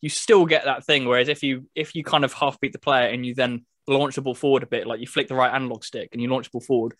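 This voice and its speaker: A man's voice: medium pitch, steady tone, quick pace, a bit bright, no room sound. Casual man with a dry, close sound. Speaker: podcast host reviewing a football video game. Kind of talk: casual review chat.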